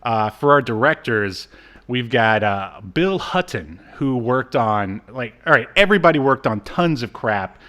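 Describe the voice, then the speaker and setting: A man's voice: medium pitch, expressive, unhurried, fairly bright tone. A man co-hosting a podcast, chatting casually and jokily as he rambles through his notes. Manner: jokey, rambling. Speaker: podcast co-host. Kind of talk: casual banter, reading off credits.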